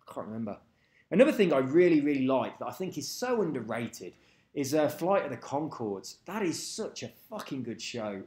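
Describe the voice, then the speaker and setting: A man's voice: mid-range voice, darkish tone. A man rambling casually to camera, swearing freely and losing track of names. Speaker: vlogger. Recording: echoey room.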